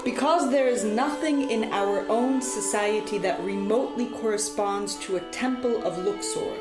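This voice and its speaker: A woman storyteller delivering poetic scripted narration in a solemn, deliberate way, with dramatic phrasing and emphatic repetition. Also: medium pitch. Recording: echoey, reverberant sound with music behind. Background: music.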